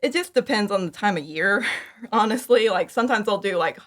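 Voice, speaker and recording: A woman speaking as a guest in a casual interview, giggly, with animated asides and lots of "like". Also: medium pitch, a little fast. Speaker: podcast guest, fan audio drama creator.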